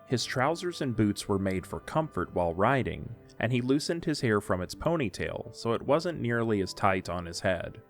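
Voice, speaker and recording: A man's voice: on the low side, sing-song, normal speed, hardly any pauses, faint music behind. A man reading scripted narration for an audio drama, telling a story in the third person.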